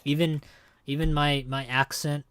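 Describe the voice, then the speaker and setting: A man's voice: on the high side, little pitch movement, measured pace, fairly bright tone, slight background noise. A young man with an American accent, chatting casually while gaming and rambling with lots of fillers and restarts. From born in Hong Kong, raised in the United States. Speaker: streamer.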